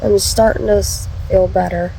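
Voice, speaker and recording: A woman's voice: mid-range voice, steady tone, normal speed, creaky, dark tone, a little hiss or hum, poor audio. A woman giving a casual vlog update, stuffy and congested, chatty with lots of ums and restarts. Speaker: vlogger.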